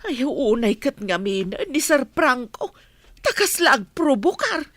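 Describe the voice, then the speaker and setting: A woman's voice: sits high, very animated, takes it slowly, a bit bright, dry recording, full character voice. A woman with an Ilocano accent acting a protective, fussing older household nanny in a radio drama. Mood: worried, advising.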